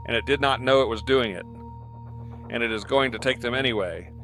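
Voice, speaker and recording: A man's voice: mid-range voice, a bit bright, natural voice. A man playing an earnest, awestruck commentator at the world's end in a radio drama, speaking with solemn rhetorical repetition. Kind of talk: scripted radio drama monologue.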